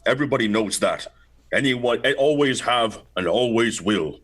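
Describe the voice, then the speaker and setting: A man's voice: mid-range voice, animated, moderate pace, fairly bright tone. A man playing a stubborn, folksy old village grandsire with a grumbling rustic drawl in an amateur radio play. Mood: scornful, grumbling.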